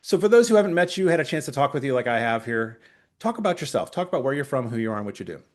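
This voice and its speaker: A man's voice: medium pitch, sing-song, rapid-fire. A man hosting a podcast, introducing his guest with a few small stumbles. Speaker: podcast host.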